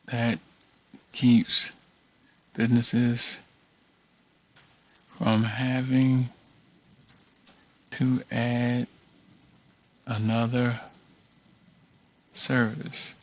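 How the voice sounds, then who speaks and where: A man's voice: mid-range voice, flat delivery, extremely slow, pauses often. A man giving an informal screen-share walkthrough, thinking aloud and muttering as he reads text off the screen. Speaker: tutorial creator.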